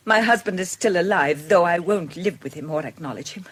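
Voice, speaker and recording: An actress playing a gentle, independent middle-aged British woman in a period radio drama, speaking earnestly and tenderly with careful articulation. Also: lowish voice, brisk, husky. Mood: sorrowful, loving. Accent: British English.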